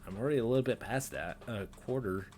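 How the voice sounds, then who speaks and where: A man's voice: medium pitch, fast talker, darkish tone, slight background noise. A man in his twenties rambling self-critically in an unedited personal vlog, with nervous laughs and many fillers, recorded in a small bedroom on a desk webcam. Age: 20s. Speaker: vlogger.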